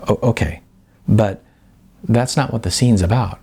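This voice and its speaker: A man reads the part of a young woman filmmaker in an audiobook, earnest and defensive. The voice is husky with a creaky edge, and the delivery is dry, probing and a little halting. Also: on the low side, dark tone, heavily acted. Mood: puzzled, defensive. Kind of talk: audiobook character voice.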